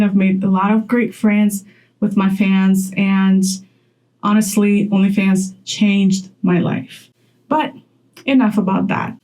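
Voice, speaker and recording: Mellow-voiced woman talking to camera. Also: mid-range voice, rough recording. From Poland. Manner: chatty, laughs often. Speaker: content creator. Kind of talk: direct-to-camera vlog advice.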